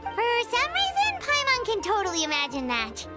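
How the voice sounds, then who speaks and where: A woman voicing a tiny, childlike floating companion girl in a video game, squeaky, excitable and easily concerned. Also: moderate pace, loud music behind the voice, heavily acted. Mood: wry.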